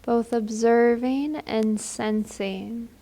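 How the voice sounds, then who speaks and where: A woman leading a guided meditation in a gentle, soothing, unhurried manner. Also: fairly high pitch, fairly even intonation.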